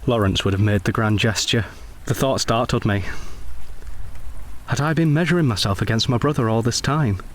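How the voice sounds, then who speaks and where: A man playing a brooding, principled young Edwardian gentleman soldier in a radio drama, speaking and narrating in a formal, intense, literary way. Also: mid-range voice, normal speed, lightly acted. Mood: angry, brooding.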